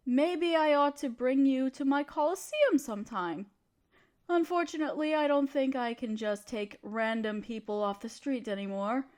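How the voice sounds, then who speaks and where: A high, very expressive female voice from an actress plays a scheming evil empress. She is imperious and conniving, with a playful streak. Her lines swoop up and down theatrically, as in a fantasy audio roleplay addressed to one listener. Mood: scheming, teasing.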